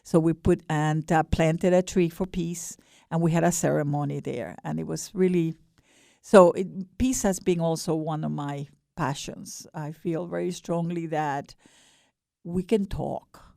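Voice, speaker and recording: A mature woman with an Ecuadorian Spanish accent, speaking earnestly and haltingly as a guest in a radio interview. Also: low voice, fairly even intonation, vocal fry, dark tone, no room sound, studio quality. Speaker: guest, board director of a peace nonprofit.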